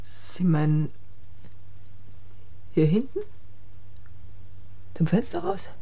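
Radio drama, timid frightened woman: husky, slow, hesitant, with a swooping pitch. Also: big pitch swings, warm tone, full character voice. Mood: frightened, bewildered. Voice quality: breathy, husky. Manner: halting, short uncertain replies. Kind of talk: radio play dialogue.

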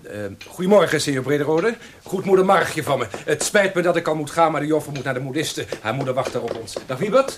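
Actor as lively, frank young Dutch gentleman. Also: a little high, fast talker, hardly any pauses, noisy background, natural voice. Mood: polite, hurried. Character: young well-born gentleman, sociable, frank, chiding friend. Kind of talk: Dutch historical radio drama acting.

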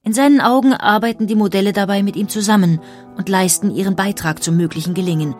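A woman narrator reading a scripted documentary portrait with measured, precise, literary phrasing. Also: mid-range voice, steady tone, darkish tone, faint music behind.

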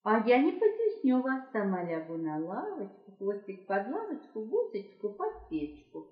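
A woman's voice: medium pitch, big pitch swings, moderate pace, lightly acted. A woman voicing a sly she-fox in a children's folk tale, sing-song, coaxing and demanding. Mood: wheedling. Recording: muffled home cassette with roomy echo.

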